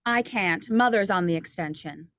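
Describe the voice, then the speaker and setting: A woman's voice: mid-range voice, moderate pace, fairly bright tone, no echo, clean studio sound, lightly acted. A woman playing a sweet, teasing young small-town woman in love, acted in 1940s radio drama style. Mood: coy, nervous. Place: indoors, recorded over a video call.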